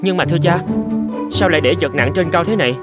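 A man voicing a brisk, impatient young samurai, the second son in a cartoon. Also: on the high side, close to an everyday voice. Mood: questioning. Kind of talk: dubbed cartoon storytelling. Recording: loud music under the voice.

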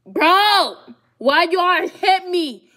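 A woman's voice: very high voice, animated, drawn-out words, bright, forceful tone. A woman in her twenties from Ohio chatting casually with strangers on video calls, blunt and teasing, full of slang, in a small bedroom. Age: 20s. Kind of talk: casual chat.

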